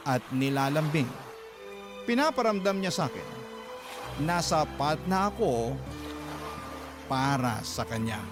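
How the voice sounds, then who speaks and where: A man with a Filipino accent narrating a scripted first-person letter for a radio drama, earnest and sentimental. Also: fairly high pitch. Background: music bed.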